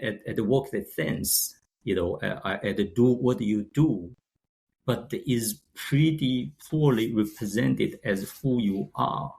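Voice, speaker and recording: A man in his sixties from China, speaking English with a Chinese accent, as a guest. He talks haltingly, with frequent restarts and fillers as he searches for words. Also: mid-range voice, creaky, darkish tone. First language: Shanghainese. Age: 60s. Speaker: guest, company founder. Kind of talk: casual interview talk.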